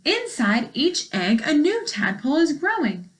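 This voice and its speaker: A woman's voice: sits high, very animated, unhurried. A woman teacher reading aloud to young children and explaining as she goes in simple words, with questions to the listeners. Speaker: elementary school teacher.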